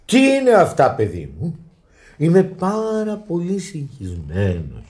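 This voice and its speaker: An actor playing a flustered, irritable husband and father in a radio comedy, repeating himself in exasperation. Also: on the high side. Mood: indignant. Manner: exasperated, repetitive, excitable. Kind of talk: theatrical radio comedy acting.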